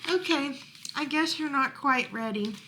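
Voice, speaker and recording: A woman voicing an eager young chick in a storybook, gently encouraging and coaxing a friend. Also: high-pitched, unhurried, noisy background.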